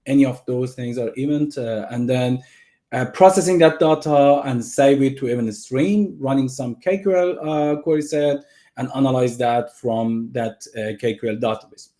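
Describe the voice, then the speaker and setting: Man, Iranian accent, native Persian speaker, tech presenter, eager, mid-pitched voice, video call. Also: normal speed, dark tone. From Iran. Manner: eager, restarts phrases often. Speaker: guest presenter. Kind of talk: online technical presentation.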